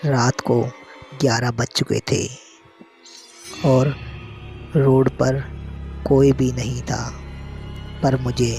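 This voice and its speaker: A man narrating a scary story in the first person, in short phrases with pauses. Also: medium pitch, little pitch movement, creaky, a little mellow. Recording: prominent music behind the voice.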